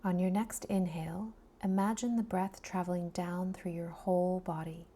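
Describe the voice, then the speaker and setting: A woman guiding a body scan meditation in a soft, soothing, breathy voice that often trails into a near-whisper, leaving long pauses between phrases. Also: fairly low pitch, fairly even intonation. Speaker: meditation guide.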